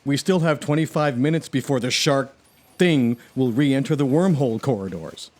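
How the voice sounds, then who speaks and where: A man's voice: medium pitch, normal speed, darkish tone, lightly acted. A man playing a deferential, level-headed crew member reasoning with his boss in a sci-fi comedy drama. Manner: polite, reasoning.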